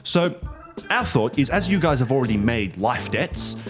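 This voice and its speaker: A man playing a harried, officious agent of a goddess company in a tabletop game, explaining things haltingly. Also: mid-range voice, big pitch swings, over music, close to an everyday voice. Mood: firm, officious.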